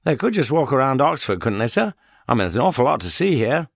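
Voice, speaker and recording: A man's voice: mid-range voice, big pitch swings, fast, vocal fry, no echo, clean studio sound, voice pushed up. A man voicing an earnest, helpful police sergeant in an audiobook reading. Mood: eager. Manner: keen, helpful.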